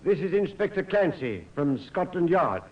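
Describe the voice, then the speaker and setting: Police sergeant, polite, dutiful, higher-pitched, muffled vintage radio drama. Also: male voice, sing-song, normal speed. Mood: polite, matter-of-fact. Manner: respectful, matter-of-fact. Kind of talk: old radio crime drama acting. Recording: distant, muffled old radio recording.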